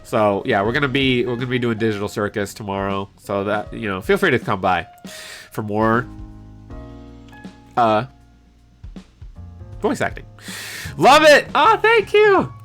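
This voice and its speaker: A man chatting casually on a live stream in an animated, hesitant way, reading out and answering viewer comments. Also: medium pitch, measured pace, pauses often. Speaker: livestreamer and amateur voice actor. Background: piano background music.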